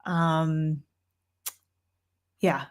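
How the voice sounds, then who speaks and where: A woman's voice: deep voice, steady tone, very slow. Chatty Canadian woman on a livestream. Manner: chatty, thinks aloud with frequent ums and restarts. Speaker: tarot reader and livestream host. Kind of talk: casual livestream Q&A. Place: downstairs room at home.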